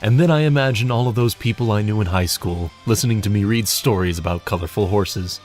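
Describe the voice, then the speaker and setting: Low-voiced young man with wry delivery. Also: brisk, darkish tone, faint music behind. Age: young adult. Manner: wry, self-deprecating, sweary. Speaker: hobby narrator and audio play director giving a channel update. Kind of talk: casual scripted video update.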